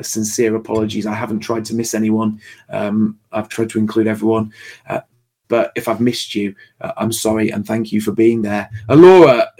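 Slightly hoarse man hosting a livestream. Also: lowish voice, darkish tone. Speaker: host of a livestream. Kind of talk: casual livestream chat. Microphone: livestream call microphone.

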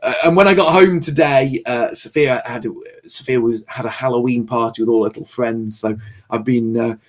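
A man with a slightly hoarse, tired voice chatting casually and haltingly as the host of a livestream. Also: medium pitch. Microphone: livestream call microphone.

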